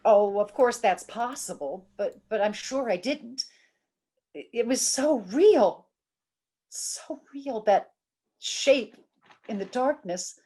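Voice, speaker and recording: A woman's voice: fairly high pitch, expressive, mellow. An actress playing a frightened young woman who halts and repeats herself anxiously, in old-time radio horror style. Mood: haunted, intense. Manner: halting, anxious, repeats words. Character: frightened, insistent young woman haunted by shadowy presences. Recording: radio drama with music behind.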